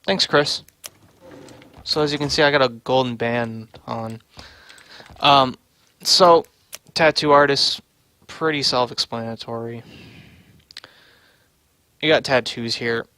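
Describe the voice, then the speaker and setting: A man's voice: medium pitch, slow, long pauses, sharp tone, no room sound. A man giving casual, unscripted game livestream commentary, thinking aloud in offhand short fragments. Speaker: livestream host and game developer.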